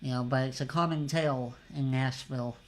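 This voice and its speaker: A man hosting a casual livestream interview show, telling stories in a relaxed, conversational way. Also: medium pitch, brisk. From California.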